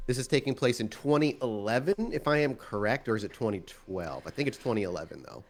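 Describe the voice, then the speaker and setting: A man's voice: medium pitch, animated, fast. A man running a tabletop roleplaying game as storyteller, narrating scenes descriptively and addressing his players. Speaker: game master.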